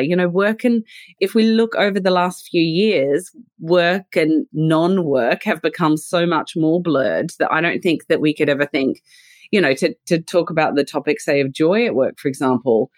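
A woman's voice: fairly low pitch, lively intonation, normal speed, no echo, studio quality. A woman in her forties with an Australian accent, speaking as a podcast guest in a thoughtful, conversational way, laughing easily. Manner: warm, laughs readily, thoughtful with "you know" fillers. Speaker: guest, leadership and culture consultant.

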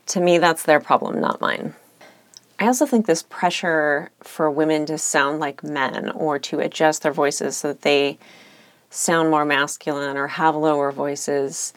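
A woman lawyer hosting a solo podcast, speaking in a reflective, measured, candid way with occasional vocal fry and uptalk. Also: sits low, dry recording.

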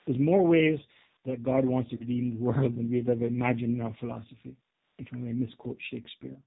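A man's voice: mid-range voice, steady tone, fairly quick, gravelly, slightly rough. Jamaican man on a phone line. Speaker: guest theology professor. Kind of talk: earnest explanatory interview answers. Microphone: telephone.

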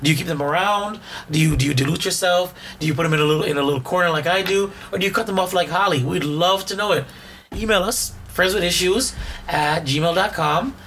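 A hoarse man co-hosting a podcast in casual chat, rambling and restarting his sentences. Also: sits high, brisk, fairly bright tone, slight background noise. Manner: rambling, repeats words and restarts often. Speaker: podcast co-host.

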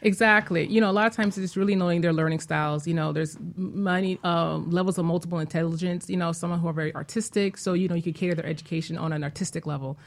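A woman's voice: lowish voice, steady tone, fast, hardly any pauses. A woman speaking as a guest in a conversational interview, explaining things as a parent.

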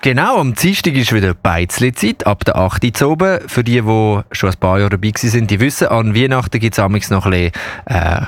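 A man's voice: lowish voice, animated, a little fast, dry recording. A man with a Swiss German accent, a radio show technician, casually announcing an upcoming event.

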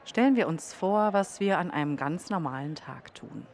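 A woman's voice: lowish voice, expressive, moderate pace, a little mellow, slight background noise. A woman reading scripted podcast narration as the host.

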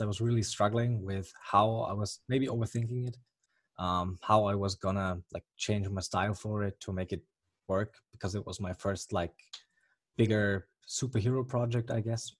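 German-accented young guest, low voice, dry close sound, halting answers with lots of fillers. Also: male voice, no room sound. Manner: hesitant, many ums and restarts. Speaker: comic artist guest. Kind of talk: casual interview answers.

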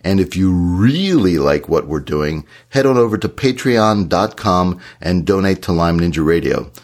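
A man, hoarse from shouting, co-hosting a podcast in a casual, encouraging way. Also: deep voice, expressive, moderate pace. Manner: earnest, encouraging advice. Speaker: podcast co-host.

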